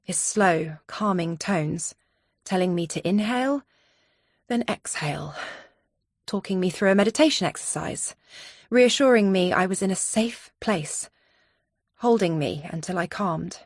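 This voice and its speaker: A woman narrates a suspense audiobook in a lowish voice. Her storytelling is tense and dramatic, and the sound is close and dry. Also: expressive, a little slow, no echo. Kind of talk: first-person scripted thriller narration.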